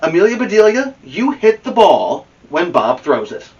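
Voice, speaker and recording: A man voicing excitable young boys on a storybook baseball team, exclaiming and bossily coaching. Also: high-pitched, normal speed, distant mic, lo-fi sound, voice pushed up. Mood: excited, bossy.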